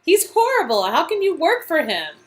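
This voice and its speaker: A woman's voice: very high voice, huge pitch swings, moderate pace, pitched above the performer's own voice, exaggerated voice. A woman voicing a supportive, sardonic young aspiring actress in a conversational game-dialogue reading. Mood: indignant.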